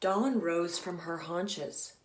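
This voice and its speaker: A woman author reading a children's story aloud in a deliberate, teacherly, emphatic way. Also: fairly low pitch. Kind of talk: expressive children's storybook narration. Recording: echoey room.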